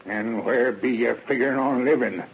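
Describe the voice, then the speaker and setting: A man's voice: medium pitch, moderate pace, close to an everyday voice. Noisy old tinny radio drama, guy playing grumpy old rural american pa, stern folksy country talk. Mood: grudging, stern. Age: older. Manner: folksy, gruff dialect speech. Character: cantankerous old rural father, stern and grudging.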